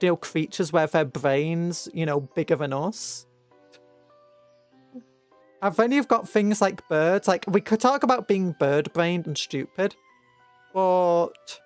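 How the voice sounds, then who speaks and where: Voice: mid-range voice, clean tone. A British VTuber streamer chatting casually to viewers in a rambling, musing way, often stopping to correct themselves. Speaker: VTuber livestreamer. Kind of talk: casual livestream chat with viewers. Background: background music.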